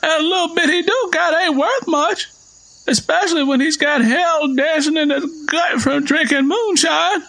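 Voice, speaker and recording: A man's voice: extremely high pitch, normal speed, gravelly, bright, forceful tone, pitched above the performer's own voice, heavily acted, rough recording. A man voicing a stern, stubborn old Southern father in an audio story, speaking bluntly and insistently. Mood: accusing, stern. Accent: American South. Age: older.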